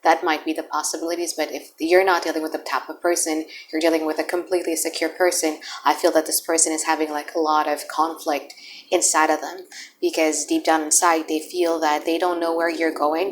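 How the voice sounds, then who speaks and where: A woman's voice: low voice, a little hiss or hum. A woman whose first language is Indonesian gives a chatty, blunt tarot reading, thinking aloud as she turns the cards. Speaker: tarot reader. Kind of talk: casual tarot reading to camera.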